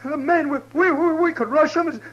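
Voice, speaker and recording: A man's voice: extremely high pitch, normal speed, fairly bright tone. An actor playing a desperate young family man, a rancher pleading with urgent stammers in a 1950s radio Western. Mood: panicked, pleading. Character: panicky male rancher, desperate husband and father. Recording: muffled old 1950s radio recording.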